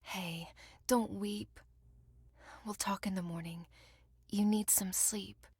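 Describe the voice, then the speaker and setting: An actress playing a fierce young orphan heroine in a video game, emotional and defiant in a theatrical way. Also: female voice, medium pitch, steady tone, takes it slowly, a little mellow, slightly rough, no room sound, clean studio sound. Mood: tender, worried. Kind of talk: dramatic video game voice acting.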